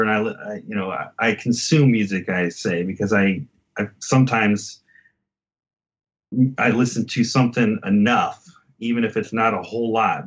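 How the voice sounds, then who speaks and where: A man with a fairly low voice hosts a casual music discussion. He speaks reflectively and hesitantly, as in easy conversation. The recording is a little dull and lo-fi. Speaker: music podcast host.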